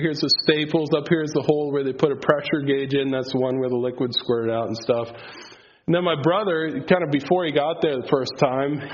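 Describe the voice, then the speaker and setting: A man's voice: a little high, slight background noise. A man whose first language is Pennsylvania Dutch, giving a casual, rambling testimony before an audience with lots of filler words. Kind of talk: faith testimony talk. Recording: slightly dull, lo-fi sound.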